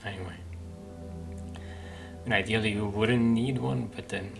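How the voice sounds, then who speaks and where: A man hosts a game playthrough in a fairly low voice. He muses casually and hesitantly, thinking aloud, while game music plays clearly behind him. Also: steady tone, a little slow. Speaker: gaming video host.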